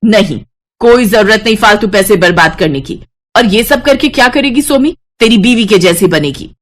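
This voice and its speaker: A woman's voice: medium pitch, lively intonation, normal speed, close to an everyday voice. A woman voicing a bitter, domineering widowed mother-in-law in a cartoon story, taunting and threatening. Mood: dismissive. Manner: taunting, threatening, sharp-tongued. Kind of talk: Hindi animated moral-story voice acting.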